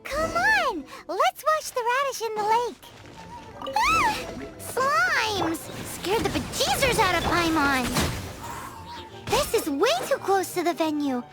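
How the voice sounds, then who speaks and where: A woman's voice: extremely high pitch, sing-song, takes it slowly, loud music behind the voice, heavily acted. A woman voicing a tiny, chirpy fairy girl companion who speaks of herself in the third person, in a game. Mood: startled. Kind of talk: game voice acting. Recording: game soundtrack under voice.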